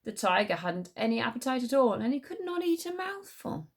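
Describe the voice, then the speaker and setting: A woman reading a story aloud as a teacher would, in an expressive storybook narration. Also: a little high, fairly quick, vocal fry.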